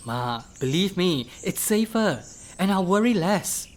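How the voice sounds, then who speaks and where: A woman's voice: lowish voice, big pitch swings, measured pace, slight background noise. A woman playing a coaxing, insistent young office worker in a radio play, with a Singaporean accent. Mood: persuasive. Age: young adult. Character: earnest, persuasive young working adult child, office employee.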